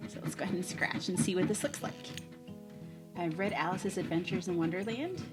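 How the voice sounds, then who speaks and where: A woman's voice: fairly low pitch, a little fast, loud music behind the voice. A woman in her thirties presenting as a school librarian host, talking casually to camera for students. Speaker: school librarian hosting a virtual library show.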